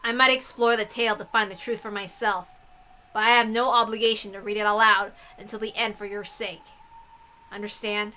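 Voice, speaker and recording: Woman playing a blunt, bright-voiced defiant young woman. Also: mid-range voice, level tone, fairly quick, slight background noise, close to an everyday voice, poor audio. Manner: curt, loud, sarcastic. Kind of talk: visual novel read-aloud.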